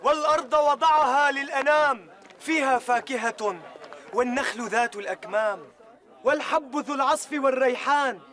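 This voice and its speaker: A man's voice: very high voice, very fast, sharp tone. A man reciting scripture in a melodic chanting style.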